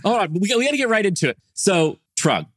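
A man co-hosting a podcast, upbeat and energetic in casual chat, laughing often. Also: high-pitched, quick pace, no room sound, clean studio sound. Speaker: podcast co-host.

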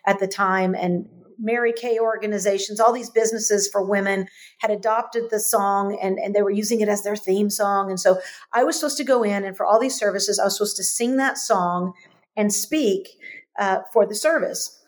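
A woman telling her life story as a guest, animated and repeating phrases for emphasis. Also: mid-range voice, moderate pace. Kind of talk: conversational storytelling interview.

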